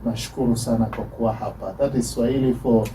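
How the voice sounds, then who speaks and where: A man's voice: mid-range voice, fairly even intonation, fast, mellow. A man from Kenya chatting as a guest on a radio show, laughing often. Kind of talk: radio interview chat. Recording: distant mic with faint noise.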